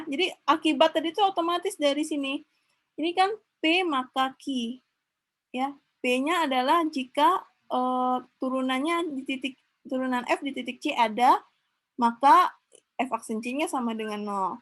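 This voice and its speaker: A woman explains a math proof in a relaxed lecture, often pausing with fillers and correcting herself mid-sentence. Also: sits high. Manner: thinks aloud, frequent fillers and self-corrections. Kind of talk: informal lecture with screen notes.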